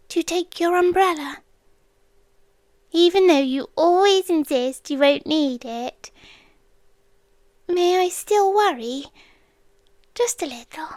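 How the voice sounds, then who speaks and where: British woman doing a shy ancient creature girl asmr, soft high whispery voice, really slow and devoted, super dry close mic. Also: dry recording. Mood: pleading, vulnerable. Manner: soft, slow, hesitant, gentle. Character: ancient lonely female creature, tender, devoted, shyly protective. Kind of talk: whispery intimate ASMR roleplay. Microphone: close microphone, dry.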